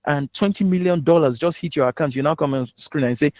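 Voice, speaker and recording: A man's voice: fairly high pitch, fast, darkish tone, no room sound, clean studio sound. A man with a Nigerian accent giving an instructional talk as a public speaking coach, emphatic and given to rhetorical questions.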